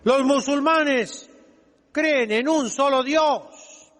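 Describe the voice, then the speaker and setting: Older male preacher, extremely slow delivery, emphatic scolding homily, long church reverb. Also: very high-pitched, fairly bright tone. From Mexico. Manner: emphatic, scolding, deliberate with dramatic pauses. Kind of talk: fiery homily, exhorting the congregation. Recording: echoing hall with long reverb.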